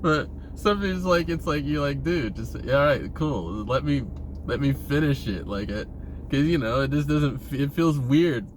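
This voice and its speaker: A man's voice: mid-range voice, animated. Casual rambling man over background noise. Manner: halting, repetitive, informal with frequent swearing. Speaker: vlogger. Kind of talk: casual rambling monologue to viewers.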